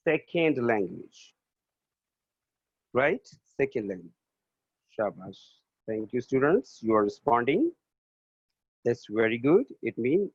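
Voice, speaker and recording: A man's voice: mid-range voice, no echo. A male teacher giving a class lesson remotely, repeating each answer and spelling words out letter by letter. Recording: tinny, phone-like lo-fi sound.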